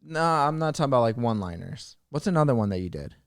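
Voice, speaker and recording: A man's voice: mid-range voice, animated, quick pace, no echo, clean studio sound. A man co-hosting a casual chat show, bantering in a teasing, joking way. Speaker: podcast co-host and audio drama producer.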